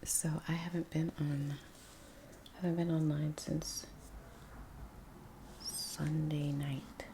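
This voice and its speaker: A woman softly whispering in a breathy voice, chatting in an unhurried, murmuring ASMR style as she works. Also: low voice, flat delivery, a little hiss or hum. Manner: unhurried, trailing off, murmured musing. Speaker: artist, ASMR video creator. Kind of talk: soft-spoken ASMR painting chat. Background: soft brush and painting sounds.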